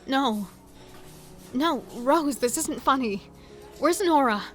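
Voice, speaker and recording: Frightened woman, high-pitched, urgent, pleading, confused, horror audio drama, faint music behind. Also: expressive, takes it slowly. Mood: frantic, distressed. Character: frightened, insistent middle sister, lost and confused.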